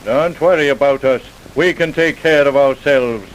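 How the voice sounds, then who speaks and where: An actor playing a gruff, skeptical police officer with a casual, dismissive manner in an old-time radio drama. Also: male voice, medium pitch, moderate pace, natural voice, poor audio. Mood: matter-of-fact. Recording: hissy vintage radio recording.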